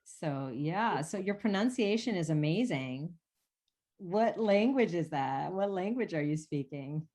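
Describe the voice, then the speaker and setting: A woman hosting a casual interview who speaks with clear enthusiasm and generous praise for the food. Her voice sits low in pitch for a woman. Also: expressive, unhurried. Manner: enthusiastic, warmly complimenting. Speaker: executive chef, interviewer.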